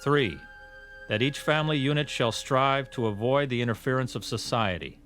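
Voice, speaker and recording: A man's voice: mid-range voice, normal speed, a bit bright, natural voice. Actor as solemn, zealous male professor. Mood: solemn, formal. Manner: formal, precise, insistent. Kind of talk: radio drama acting. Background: faint music.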